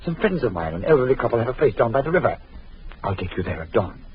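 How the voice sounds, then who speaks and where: A man's voice: mid-range voice, sing-song, fast talker, lightly acted. A man playing a tense trapped hero, dull old radio. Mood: reassuring. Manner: urgent, reassuring then alarmed. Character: anxious rational young man trapped. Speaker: radio drama actor. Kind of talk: 1940s radio acting.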